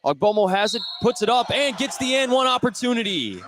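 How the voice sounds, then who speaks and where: A man's voice: very high-pitched, big pitch swings, brisk, crowd noise. A man calling live basketball play-by-play for a radio broadcast. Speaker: play-by-play announcer. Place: indoor basketball gym, live game. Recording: noisy live gym broadcast.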